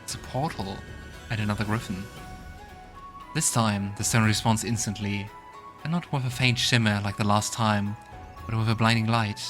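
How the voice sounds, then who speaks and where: Low-voiced man doing gameplay commentary. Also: fairly even intonation, normal speed, pauses often. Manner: musing aloud to himself. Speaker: let's play host. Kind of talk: casual gameplay commentary, reading game text aloud. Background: faint game music.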